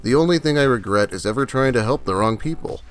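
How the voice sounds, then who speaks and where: A man's voice: mid-range voice, animated, fast talker, smooth, faint music behind, natural voice. A man reading out a sanctimonious, condescending spurned male friend for a comedy video. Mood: bitter, condescending. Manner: preachy, condescending.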